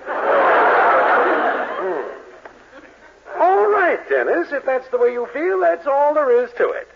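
A muffled vintage radio recording of a blustering middle-aged boss. His high, husky voice swoops extravagantly, fussy and theatrical, as in an old sitcom. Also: huge pitch swings, takes it slowly, exaggerated voice. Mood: scheming. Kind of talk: 1940s radio comedy acting.

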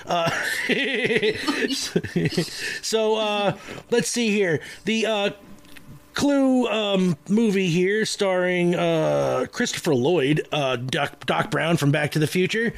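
A man's voice: high-pitched, slow pace, gravelly. A man with an American accent chatting casually as a podcast host, rambling and jokey, poking fun at himself. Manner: rambling, jokey, self-deprecating. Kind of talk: casual chat.

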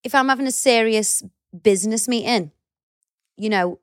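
A woman's voice: a little high, normal speed, clear, resonant tone, no echo. Chatty Scouse woman, dry close sound. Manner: chatty, animated storyteller who swears casually. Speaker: podcast co-host. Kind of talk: casual chat.

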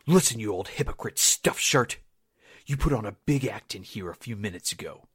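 A man's voice: on the low side, expressive, moderate pace, a bit bright, husky, no echo, studio quality. A man acting an indignant, combative young soldier who scolds in folksy slang, in a 1940s-style radio drama. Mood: indignant, threatening.

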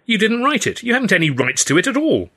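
Earnest male music-hall comic for audiobook, performed by a male narrator: high, bright, questioning, easily flustered. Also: very animated, fast talker, slightly rough, full character voice. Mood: indignant.

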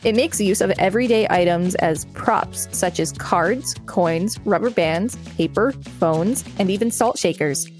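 A woman's voice: medium pitch, a little slow, hardly any pauses, a little mellow, faint music behind. A woman hosting a podcast, reading encyclopedia text aloud and adding casual commentary. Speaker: podcast host.

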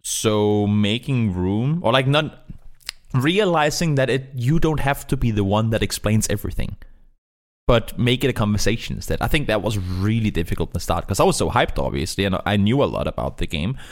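A man who is not a native English speaker, talking casually and in a rambling, animated way as a guest caster in an interview. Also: on the low side, moderate pace. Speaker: esports caster being interviewed.

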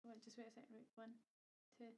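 A woman's voice: fairly high pitch, completely flat delivery, fast talker, darkish tone. A young woman co-hosting a podcast in casual, blunt chat, swearing freely and laughing often. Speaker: podcast co-host.

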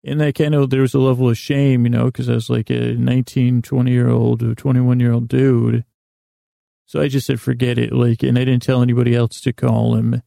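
A middle-aged American man hosting a podcast with a meandering, halting bedtime monologue, often repeating himself and trailing off. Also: medium pitch, flat delivery, gravelly, dark tone, dry recording, studio quality. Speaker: podcast host.

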